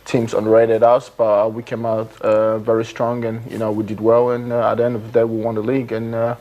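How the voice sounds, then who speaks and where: A man in his twenties from Nigeria, a footballer being interviewed in a TV studio, who talks in halting phrases full of fillers and restarts. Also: lowish voice, completely flat delivery, warm tone, lo-fi sound. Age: 20s. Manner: hesitant, many fillers and restarts. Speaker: guest footballer.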